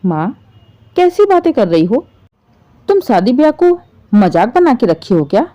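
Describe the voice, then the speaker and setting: A woman's voice: fairly high pitch, sing-song, a little slow, warm tone, highs cut off. A woman voicing an upset young married son who is earnest and reproachful in a family drama story. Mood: upset, resolute.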